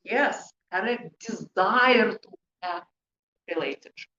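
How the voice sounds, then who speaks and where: A woman speaking English as a second language, presenting to a group in short, deliberate, emphatic phrases with rhetorical questions. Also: medium pitch, bright, forceful tone. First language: not English. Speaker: presenter, hypnotherapist. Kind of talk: interactive talk to a group. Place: room with an audience, indoors. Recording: echoey, muffled room recording with background noise.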